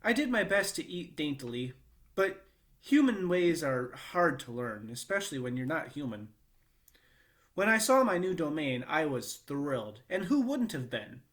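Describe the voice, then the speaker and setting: A man reading a children's book aloud in a measured, expressive storytelling manner with short pauses. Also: fairly high pitch. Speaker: read-aloud reader.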